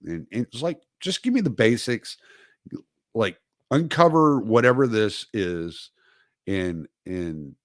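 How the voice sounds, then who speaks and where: Slow, deep-voiced man rambling. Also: expressive, no echo, studio quality. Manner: rambling, halting, many fillers and restarts. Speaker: podcast host. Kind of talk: casual livestream monologue.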